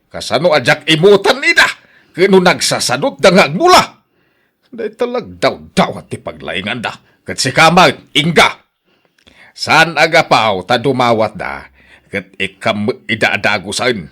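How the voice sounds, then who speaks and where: An actor playing a grumpy, stingy old husband in a radio drama, scolding and complaining with an Ilocano accent. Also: male voice, mid-range voice, big pitch swings, unhurried, sharp tone, slightly rough, full character voice. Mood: indignant, grumbling. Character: grumpy, stingy old husband guarding his fruit trees. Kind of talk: Filipino radio drama acting.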